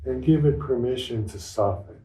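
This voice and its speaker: Male meditation teacher, very mellow dark tone, gentle short phrases, long pauses, roomy echo from a distant mic. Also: mid-range voice, faint music behind. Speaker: meditation teacher leading a satsang. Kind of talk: guided meditation, unscripted and instructional.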